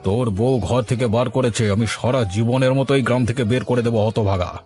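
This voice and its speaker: A man voicing a stern, commanding village man in a cartoon, threatening and brisk. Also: mid-range voice, steady tone, a little mellow, soft background music, close to an everyday voice. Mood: angry. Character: stern bossy village man, middle-aged. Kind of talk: Bengali cartoon voice acting.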